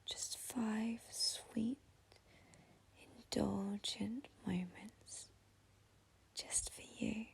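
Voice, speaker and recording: A woman guides a meditation slowly and gently, leaving long pauses between short phrases. Her voice is very breathy and often slips into a whisper, with heavy creak at the edges. The delivery is soft, mellow and soothing. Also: on the high side. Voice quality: breathy, husky, half-whispered.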